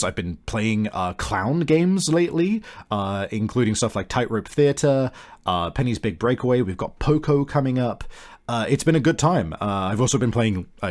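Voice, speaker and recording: A man speaking casually and informally, unscripted and a little hesitant, as the host and game master of a show. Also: medium pitch. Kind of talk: casual, unscripted podcast talk. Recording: music behind the voice. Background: background music.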